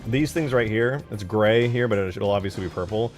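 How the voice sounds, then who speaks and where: Male streamer, low voice, informal and muttering to himself while modding a game, music behind him. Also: fairly quick. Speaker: game modder streaming his work. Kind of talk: casual livestream chat while working. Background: background music.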